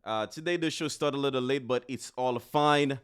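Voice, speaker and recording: A man from Haiti hosting a podcast in an upbeat, chatty way, often repeating words and pausing on fillers. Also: medium pitch, clear, resonant tone. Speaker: podcast host.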